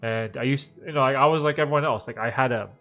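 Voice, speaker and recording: A man in his thirties, a bit congested and nasal, rambles easily through viewer questions on a live stream, tossing in "like" and "right?" all the time. Soft music plays faintly under his voice. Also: medium pitch, fast, muffled recording. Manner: relaxed, rambling, heavy use of "like" and "right?". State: stuffed up, slightly nasal from dust allergies. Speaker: livestream host answering viewer questions. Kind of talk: casual live Q&A chat.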